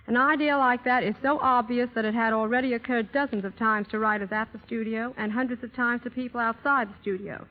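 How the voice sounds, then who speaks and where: A woman speaking as a guest in a scripted 1930s radio interview, formal and articulate. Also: fairly high pitch. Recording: muffled old radio recording, long reverb.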